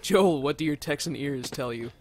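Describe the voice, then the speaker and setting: A man's voice: a little high, moderate pace, darkish tone, slight background noise. A man co-commentating on a video game with playful, teasing casual banter. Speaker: let's play co-commentator.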